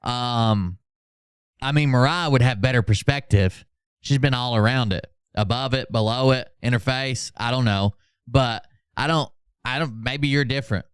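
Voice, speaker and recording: Smooth-voiced, sardonic man hosting a stream. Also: mid-range voice, moderate pace, dry recording, studio quality. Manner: mocking, sardonic, profane. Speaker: host of a commentary livestream. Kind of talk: sarcastic reaction commentary with mock-dramatic readings.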